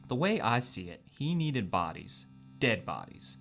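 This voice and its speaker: A man's voice: mid-range voice, sing-song, measured pace, creaky, a bit bright, highs cut off, soft background music, full character voice. A man playing a loyal, wry spaceship crewman and tinkerer in an audio drama, talking casually. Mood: wry, practical.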